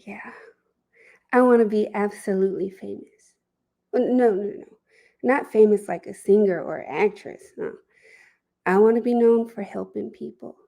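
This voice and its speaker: A woman performing a dramatic audition monologue as an earnest, reflective, idealistic young person, speaking deliberately. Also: medium pitch, creaky, dark tone. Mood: earnest, hopeful.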